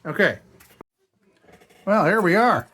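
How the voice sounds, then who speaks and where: A hoarse man talking casually to himself as he restores an old lantern at a workshop bench, thinking aloud. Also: sits high, sing-song, slow, pushed and bright, a little hiss or hum. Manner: chatty, thinking aloud while working. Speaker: restoration channel host.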